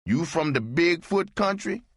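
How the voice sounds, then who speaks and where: Narrator voices a male Southern barfly, raised pitch, mocking drawl. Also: animated, a little slow, fairly bright tone, no echo. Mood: teasing. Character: grinning male barfly teasing a newcomer.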